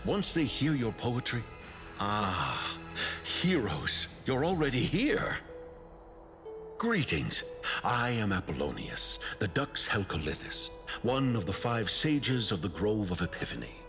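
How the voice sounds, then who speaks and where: An urbane imperial sage in a game. His deep-leaning voice rises and falls theatrically, smooth and grandiose, over prominent music. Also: very animated, a little slow. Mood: courtly. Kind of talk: video game voice acting.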